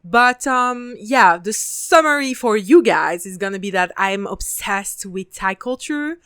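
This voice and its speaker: French woman, 20s, French accent, talkative podcast host; smooth, crisp voice at a mid pitch, dry close recording. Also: lively intonation, a little slow, pushed and bright, dry recording. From France (Paris). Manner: chatty and talkative, casual swearing, frequent laughter. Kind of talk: casual solo podcast monologue.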